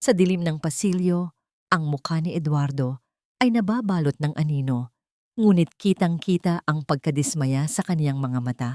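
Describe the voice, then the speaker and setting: A woman reads a dramatic audiobook story. Her voice is dark, relaxed and on the low side, in a dry recording with no room sound. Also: normal speed, no room sound. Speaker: audiobook narrator. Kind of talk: dramatic scripted story narration.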